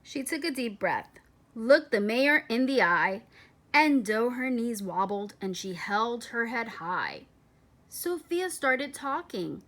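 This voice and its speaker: A woman reading a children's picture book aloud in a deliberate, expressive storytelling manner. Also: a little high. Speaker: storybook reader.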